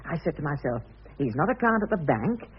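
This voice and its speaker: An actor in a comic radio drama playing a timid, rambling bank clerk who stammers politely and apologetically. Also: male voice, a little high, sing-song, brisk, heavily acted. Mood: rambling, earnest. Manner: stammering, long-winded, polite. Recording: muffled lo-fi radio recording, slightly rough.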